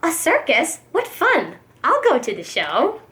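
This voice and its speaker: Woman as a high, brash storybook bird. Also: huge pitch swings, measured pace, pushed and bright, full character voice. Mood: excited. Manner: exclamatory, brash. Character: lazy, selfish, indignant female bird.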